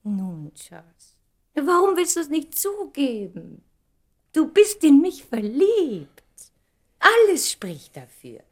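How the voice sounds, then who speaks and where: An actress in a German radio play playing a proud, probing woman who speaks in a deliberate, pointed, dramatic way. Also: high voice, wildly swooping pitch, exaggerated voice. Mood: insistent, probing. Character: Valerie, proud woman, probing, articulate, emotionally insistent.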